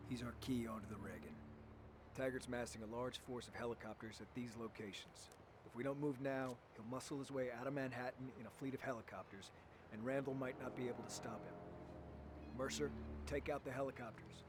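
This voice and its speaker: An actor playing a hard-nosed military operative, giving clipped, commanding briefings in a game cutscene. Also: male voice, mid-range voice, steady tone, a little fast, background noise, lightly acted. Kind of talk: video game cutscene acting.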